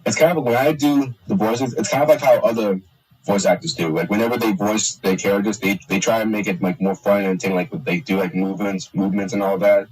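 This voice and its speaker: A young man chatting casually on a stream, halting with many restarts and fillers. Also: fairly low pitch, fairly even intonation, darkish tone, breathy edge, far from the mic, low-quality recording. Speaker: streamer and hobby voice actor. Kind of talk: casual chat on a live stream.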